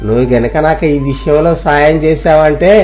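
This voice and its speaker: A man's voice: fairly high pitch, normal speed, a little mellow, natural voice. A man voicing a naive young village farmer hungry for fame, talking in a rustic, coaxing way, over background music. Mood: pleading. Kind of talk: storybook voice acting.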